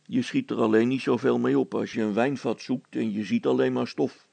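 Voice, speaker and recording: A man voicing a blunt, down-to-earth, dry-witted fellow in a story, matter-of-fact and deadpan. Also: mid-range voice, moderate pace, gravelly, no echo, voice pushed down. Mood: dry, sober.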